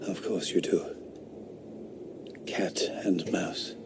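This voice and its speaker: An actor playing a haughty, sceptical 18th-century philosopher who talks with scorn and drops into fearful whispers, in a radio drama. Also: male voice, low-pitched, fairly even intonation, takes it slowly, creaky, heavily acted.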